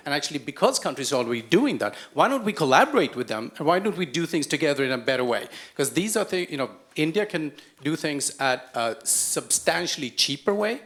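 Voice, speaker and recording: A man's voice: fairly high pitch, very animated, brisk, hardly any pauses, a bit bright. A man speaking on a panel in a conversational, persuasive way. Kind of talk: panel discussion.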